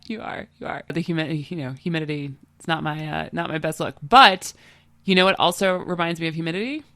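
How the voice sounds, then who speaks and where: A middle-aged woman co-hosting a podcast in casual, chatty talk, her voice stuffed up and nasal from a cold. There is a gravelly fry under her words. The sound is dry and close, with no room behind her. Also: low voice, fairly quick, pushed and bright, no echo. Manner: chatty, enthusiastic, many fillers. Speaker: podcast co-host.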